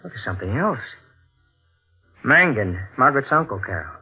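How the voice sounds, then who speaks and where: An actor playing a suspicious, coolly controlled husband with clipped, probing speech in a 1940s radio drama. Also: male voice, mid-range voice, very animated, moderate pace, breathy edge, faint music behind, exaggerated voice. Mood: cool, guarded. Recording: narrow-band vintage radio recording.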